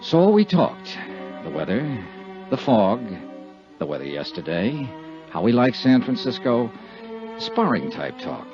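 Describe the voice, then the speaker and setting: A man's voice: medium pitch, sing-song, unhurried, pauses often. An American man playing a tough, wisecracking insurance investigator who narrates his case in a wry, clipped 1950s radio detective style. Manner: wry, clipped, hard-boiled. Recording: dull 1950s radio recording with music.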